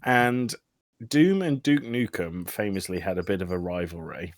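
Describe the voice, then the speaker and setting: A man's voice: deep voice, normal speed, no echo. A man hosting a casual film discussion podcast, speaking in a jokey, sardonic way. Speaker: podcast host.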